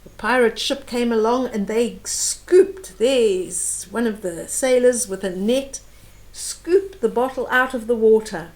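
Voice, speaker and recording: An older woman retelling a picture book to young children as a storyteller, in short expressive phrases that speak directly to the listener. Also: on the high side, slow.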